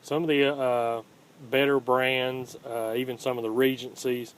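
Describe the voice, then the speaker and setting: A man giving a casual, unscripted hands-on how-to in a plainspoken, halting way, recorded on a handheld phone. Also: medium pitch, level tone, low-quality recording. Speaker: host of a scrapping how-to channel. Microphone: handheld phone, filming one-handed. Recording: home phone video with faint background noise.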